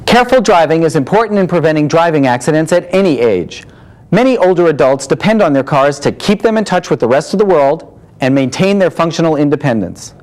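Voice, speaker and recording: A man, a doctor, reading scripted advice in a formal, measured way, listing points one by one. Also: a little high, expressive, a little mellow. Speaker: doctor giving medical advice.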